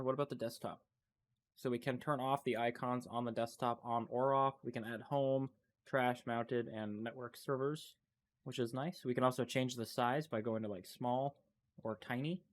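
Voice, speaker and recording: A man with a congested, stuffy voice, casually commenting as he clicks through a computer demo and thinks aloud. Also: medium pitch. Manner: thinking aloud, loose and unscripted. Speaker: tech channel host. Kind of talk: casual screen-recording commentary.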